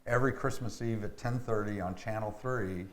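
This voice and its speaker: An older American man chatting casually as an interview guest, telling things in a halting, repeating way. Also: fairly low pitch. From Memphis, United States. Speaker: actor in a community theatre, interview guest. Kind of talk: casual chat. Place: indoor room, television interview. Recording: echoey room.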